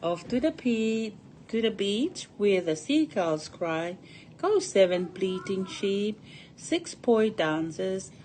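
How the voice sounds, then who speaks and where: A woman's voice: mid-range voice, very animated, slow pace, a little mellow, slight background noise, rough recording. A woman reading a picture book aloud to children in a sing-song storybook cadence. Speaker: storytime reader.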